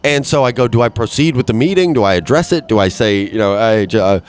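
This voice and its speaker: A man's voice: mid-range voice, expressive, fairly quick, soft background music. A young man with a stuffed-up nose rambling through a crude, self-deprecating solo monologue, full of restarts and asides. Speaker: podcast host.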